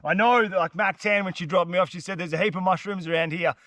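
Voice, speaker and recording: Blocked-up vlogger, high-voiced. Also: male voice, steady tone, fast, bright, forceful tone, no echo. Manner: laid-back, chatty, slangy. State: congested, blocked-up nose. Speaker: fishing vlogger. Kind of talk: casual outdoor vlog to camera. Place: dense humid forest, outdoors.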